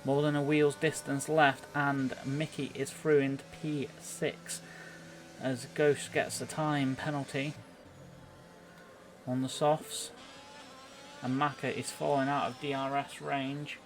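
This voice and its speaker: A man giving live play-by-play commentary on an online racing game, a little halting as he thinks through the action. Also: mid-range voice, little pitch movement, a little hiss or hum.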